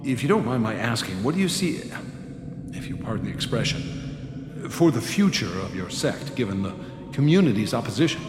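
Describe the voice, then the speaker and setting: An actor playing a skeptical young newspaper reporter in a radio drama, wry and politely probing. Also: male voice, mid-range voice, sing-song, a little fast, natural voice. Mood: uneasy, polite. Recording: echoing hall with long reverb, music behind.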